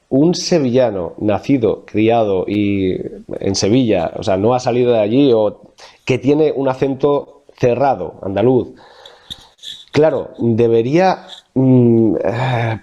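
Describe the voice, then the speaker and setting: A man's voice: mid-range voice, mellow, highs cut off. A man from La Mancha with a Castilian Spanish accent, a vocal coach in his thirties explaining diction exercises in an interview, thoughtful, with many fillers and restarts. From Spain, Castilla-La Mancha.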